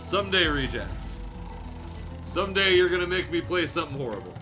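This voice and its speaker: A man's voice: on the high side, very animated, a little fast. A man streaming games as show host, chatting casually with wry, sweary remarks. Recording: music playing faintly behind the voice.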